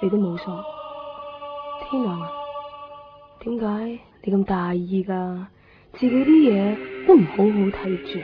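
A woman's voice: medium pitch, measured pace, long pauses, music in the background, close to an everyday voice. A woman playing an intense, resentful teenage schoolgirl in a radio drama, insistent and eerie, with a Hong Kong Cantonese accent. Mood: resentful, brooding. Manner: insistent, brooding. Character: intense, cold, resentful teenage schoolgirl, eerily fixated on exams. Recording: muffled 1990s radio drama recording.

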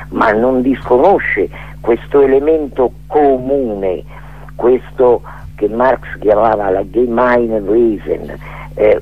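A tinny, phone-like radio line carries an older man with a husky, fairly low voice. He talks in a rambling, reflective monologue, often pausing to search for the next word. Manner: digressive, hesitant, searching for words. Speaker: radio guest speaker.

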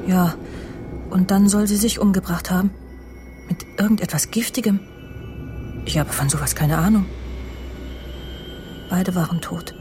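A woman's voice: fairly low pitch, a little slow, pauses often, over music, natural voice. An actress playing a vain, self-assured woman journalist in a radio crime drama, confiding and imperious as she justifies herself. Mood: indignant, self-justifying.

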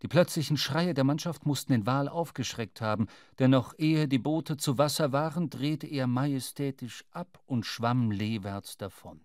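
A man with a mid-pitched voice reads literary narration for a radio drama. He tells it in a measured, dramatic way, in a close, dry studio. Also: a little mellow, no room sound.

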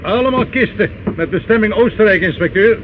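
An actor in a Dutch radio play, playing a dutiful police sergeant who reports in a clipped, deferential way. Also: male voice, sits high, expressive, fairly quick. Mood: dutiful, alert. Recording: dull old radio recording, faint noise.

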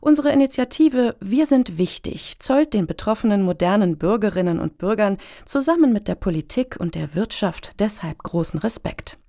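A woman's voice: medium pitch, lively intonation, moderate pace, few pauses, dark tone, close to an everyday voice. An actress playing an earnest, self-important middle-aged woman activist in a satirical radio play, speaking formally and wordily. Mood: solemn.

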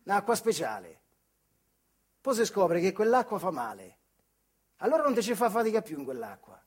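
Male actor as retired factory hand, older, Marche accent, stage monologue, husky, high-pitched, bitter, colloquial, rhetorical. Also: wildly swooping pitch, moderate pace, heavily acted. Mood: brooding, confiding. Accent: central Italian, Marche. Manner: colloquial, rhetorical questions, swings from muttering to outbursts. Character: bitter retired Italian factory worker, weary, sardonic, impassioned. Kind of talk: theatrical monologue in dialect-tinged speech.

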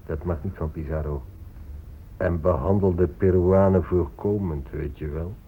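An actor playing a calculating male explorer and commander in a radio drama, measured and formal. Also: sits low, slightly rough. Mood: confiding. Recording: narrow, faintly noisy old radio recording.

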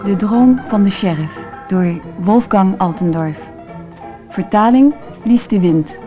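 Slow, measured woman announcer. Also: mid-range voice, animated. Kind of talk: scripted radio credits. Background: music.

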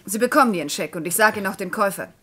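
Fast-talking, cool shrewd businesswoman. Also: mid-range voice, lively intonation, sharp tone. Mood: brisk, commanding. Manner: brisk, composed, matter-of-fact. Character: cool, shrewd, self-assured businesswoman with secrets. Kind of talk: German radio crime drama acting.

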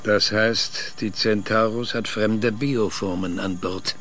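An actor in a radio drama playing a proud, opinionated old scientist who speaks pompously and searches for words. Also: male voice, lowish voice, steady tone, takes it slowly, fairly bright tone. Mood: dry, eager. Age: older.

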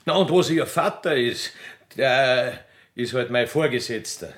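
A man's voice: medium pitch, lively intonation, fairly bright tone. A man voicing a rambling, evasive Austrian railway machinist who halts, mutters and trails off in a comic radio play. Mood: musing, chuckling. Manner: halting, muttering, trailing off with tag questions. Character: rambling, evasive rustic railway machinist, fiancé and small-time poacher. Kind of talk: satirical radio play performed solo.

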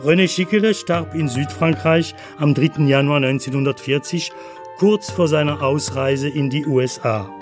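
Male doc narrator, measured and factual, slightly high voice, faint music under it. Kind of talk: scripted documentary narration.

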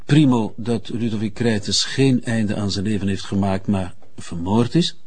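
An actor playing a methodical Flemish forensic investigator in a drama, reporting in a formal, measured way. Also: male voice, lowish voice, steady tone, darkish tone. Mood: matter-of-fact. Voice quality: husky. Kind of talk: drama acting.

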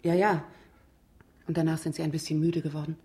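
An actress playing a nervous, evasive young woman with a breathy voice in a radio crime comedy. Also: low-pitched, little pitch movement, brisk, mellow. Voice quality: husky, breathy. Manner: hesitant, evasive.

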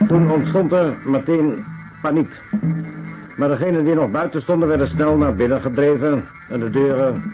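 A cold, detached officer, played by a man delivers an orderly radio drama monologue. The voice is mid-pitched and matter-of-fact, slightly muffled, with music behind. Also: natural voice. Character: cold, methodical male camp officer reporting atrocities. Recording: dull lo-fi radio drama recording.

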